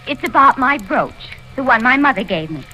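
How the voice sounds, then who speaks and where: A woman's voice: sits high, animated, moderate pace, bright, forceful tone, soft background music, poor audio. An actress playing a deferential but sly housemaid, wheedling her mistress in an old radio drama. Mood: upset. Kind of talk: 1940s radio acting. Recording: faintly hissy old radio recording.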